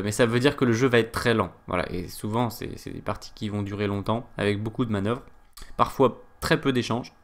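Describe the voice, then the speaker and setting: A man's voice: on the low side, fairly bright tone. Hesitant French man analysing chess. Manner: hesitant, many "euh" fillers; chatty digressions. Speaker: chess coach. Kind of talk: chess game analysis lesson.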